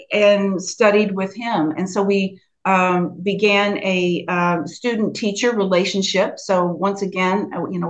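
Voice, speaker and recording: A middle-aged woman from the United States with a soft Southern US accent answers interview questions slowly and deliberately. She leans on frequent fillers as she talks, and her voice sits at an ordinary middle pitch. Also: highs cut off. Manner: slow, measured, with frequent fillers. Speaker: guest, sound healing practitioner.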